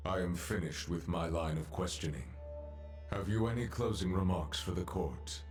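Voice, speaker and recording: An actor playing a stern, imperious judge in a video game, speaking formally and solemnly, as if pronouncing sentence. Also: male voice, low-pitched, normal speed, a little mellow, faint music behind, lightly acted. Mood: cold questioning. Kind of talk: dramatic video game voice acting.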